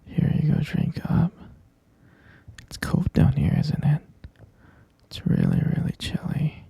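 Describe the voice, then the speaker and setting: A man acts out a possessive, obsessive boyfriend in an intimate audio roleplay. His voice is dark and very breathy, often sinking into a gravelly whisper as he slowly coaxes and pleads with sweet endearments. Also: a little high, huge pitch swings, no room sound, full character voice. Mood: coaxing. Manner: slow, coaxing, repetitive, pleading endearments. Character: obsessive, coaxing yandere boyfriend holding his lover captive.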